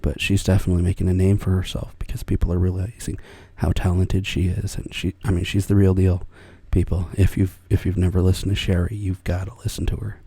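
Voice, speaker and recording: Gravelly whispering older man. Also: sits low, little pitch movement, a little fast, few pauses, no echo. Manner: whispering, soft-spoken, rambling. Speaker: ASMR artist. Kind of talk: soft-spoken ASMR chat.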